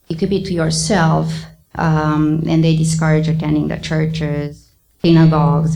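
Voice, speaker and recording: A middle-aged woman with a Romanian accent giving a thoughtful public lecture, often pausing with ums. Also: low voice, gravelly, a little mellow, low-quality recording. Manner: thoughtful, hesitant with many ums. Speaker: psychiatrist giving a talk.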